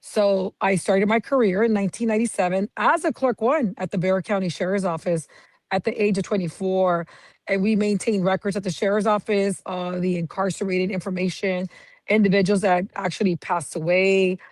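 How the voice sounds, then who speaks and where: A woman in her fifties from San Antonio, Texas, talks as a guest in a steady, detailed and proud way. Her voice sits at a middle pitch. The sound is dry and close, with no room around it. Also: brisk, no room sound. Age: 50s. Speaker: county clerk, guest. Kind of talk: interview answers.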